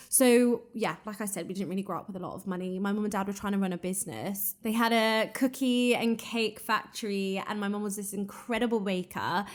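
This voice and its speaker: Chatty young English woman podcaster. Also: medium pitch. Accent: southern English with a slight northern twang. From England and Ireland. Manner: chatty, frank, fillers like "like". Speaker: podcast host. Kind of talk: casual solo chat.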